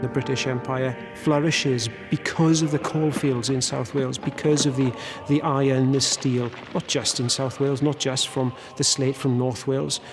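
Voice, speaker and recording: A man's voice: medium pitch, little pitch movement, moderate pace, hardly any pauses, over music. A man giving historical commentary with an emphatic, building rhythm as he lists points. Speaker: documentary contributor.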